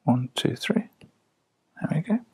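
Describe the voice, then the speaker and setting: A man's voice: unhurried, a little mellow. A man working as a clinician, guiding a patient through reflex tests with short, simple instructions. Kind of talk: instructional clinical exam talk.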